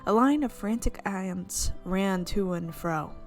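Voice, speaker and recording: A woman streaming a visual novel, reading the narration aloud with expressive, playful reactions. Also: medium pitch, slow, faint music behind. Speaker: game streamer.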